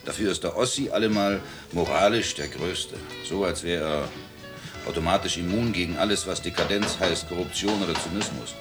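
A man's voice: low voice, moderate pace, lightly acted. An actor playing a sardonic, worldly British journalist who lectures his friend. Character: cynical British journalist, middle-aged. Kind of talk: 1980s radio play acting. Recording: music underneath.

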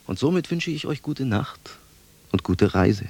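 An actor playing a cautious, obedient soldier on guard in a radio play, speaking in a pedantic, evasive way. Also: male voice, medium pitch, animated, unhurried, heavy background noise. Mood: guarded, matter-of-fact.

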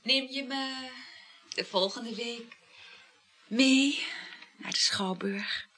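A woman's voice: on the high side, extremely slow, sharp tone, a little hiss or hum, exaggerated voice. A woman acting a flighty, chatty married woman having a secret affair in a naturalistic radio play, gossipy and matter-of-fact about scandal. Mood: coaxing.

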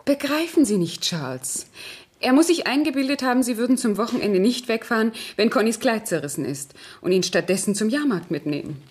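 A woman's voice: on the high side, lively intonation, fairly quick, a little mellow, slightly rough. An actress playing a composed, persuasive woman who manages a household, in a radio crime drama. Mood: calmly persuasive. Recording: 1970s studio radio play recording.

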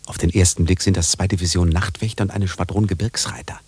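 Radio play bird owner: wry, uneasy, very hoarse-edged husky voice, on the low side. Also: male voice, steady tone, fast, darkish tone. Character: wry, anxious parrot owner.

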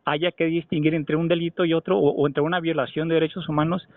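A man's voice: a little high, level tone, fast talker, no echo. A man on a phone call, arguing insistently and at length with an official. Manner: insistent, argumentative, long-winded. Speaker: caller. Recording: tinny phone line.

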